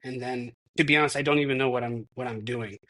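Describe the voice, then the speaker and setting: A man's voice: medium pitch, fairly even intonation, pushed and bright, low-quality recording. Reflective man in his thirties. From United States. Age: 30s. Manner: reflective, halting with many restarts. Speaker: guest, stuntman. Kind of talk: casual interview.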